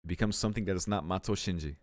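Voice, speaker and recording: A man's voice: low voice, rapid-fire, dry recording, clean studio sound. A man hosting a let's play, reading visual novel narration aloud and adding casual commentary. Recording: music playing behind the voice. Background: game music.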